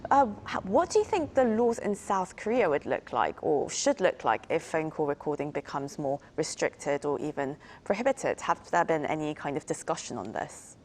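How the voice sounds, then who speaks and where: A woman with a fairly low voice hosts a news discussion programme. She delivers scripted introductions and questions in a formal broadcast interview style. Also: sing-song, normal speed, hardly any pauses, a little mellow, a little hiss or hum.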